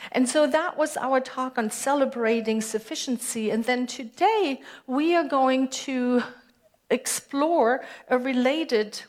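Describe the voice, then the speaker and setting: A woman minister with a German accent, giving a conversational sermon and putting questions to her congregation. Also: fairly high pitch. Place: church sanctuary.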